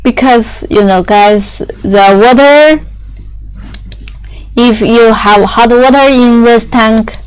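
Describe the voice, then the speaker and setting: A young woman whose first language is Chinese, explaining factory machinery in halting English with many fillers and restarts. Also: on the high side, smooth, poor audio.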